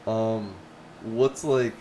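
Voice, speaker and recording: A man's voice: on the low side, fairly even intonation, drawn-out words, warm tone, slight background noise. A man in his twenties hosting a podcast, asking questions in an easygoing, encouraging, chatty way. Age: 20s. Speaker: podcast host. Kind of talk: casual interview.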